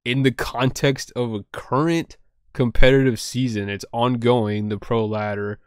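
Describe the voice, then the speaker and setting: A man's voice: medium pitch, fairly even intonation, a little slow, smooth, dry recording, clean studio sound. A young man talking casually to camera at home, rambling with lots of fillers and poking fun at himself. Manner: rambling, many fillers and "like", self-deprecating. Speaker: card game content creator.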